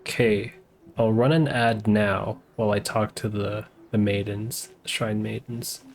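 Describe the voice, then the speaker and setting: A man's voice: on the low side, flat delivery, measured pace, darkish tone. A man live streaming a game, chatting casually and muttering to himself in an easygoing way.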